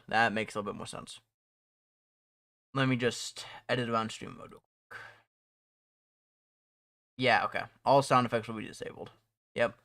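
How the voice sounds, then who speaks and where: A man's voice: medium pitch, bright, forceful tone, no echo, clean studio sound. A young man chatting casually on a live stream from home, rambling, laughing often and restarting his sentences. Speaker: streamer and mod developer.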